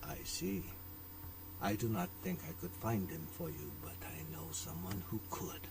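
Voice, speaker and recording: A man's voice: low-pitched, very animated, gravelly, distant mic, music in the background, full character voice. An actor voicing a gracious, formal older businessman, a courteous father figure in a video game. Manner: formal, courteous, measured wording.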